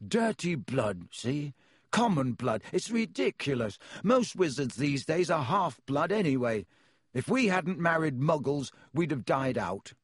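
A man voicing a hoarse, queasy teenage schoolboy wizard who speaks indignantly. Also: a little high, sing-song, a little slow, no echo, pitched above the performer's own voice, heavily acted.

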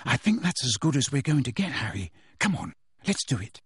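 Man voicing a bossy clever schoolgirl witch, raised pitch, urgent and hushed, storybook reading. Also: lively intonation, a little fast, breathy edge, no room sound, clean studio sound.

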